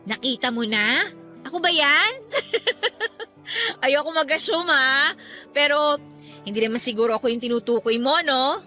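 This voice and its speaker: Actress as a bright, high-pitched teasing young businesswoman. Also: unhurried, pauses often, faint music behind, full character voice. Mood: coy teasing. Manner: teasing, animated, exclaiming. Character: playful, chatty young self-made businesswoman, reunited old flame. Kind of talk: Filipino audio drama acting.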